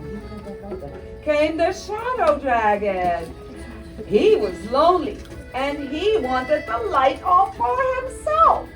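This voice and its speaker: Slow, lively woman hosting a school show. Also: high-pitched. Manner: encouraging, directing, repeats lines loudly for children. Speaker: teacher hosting a school performance. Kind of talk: announcing, prompting children, dramatic story narration. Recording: music behind, picked up from a distance.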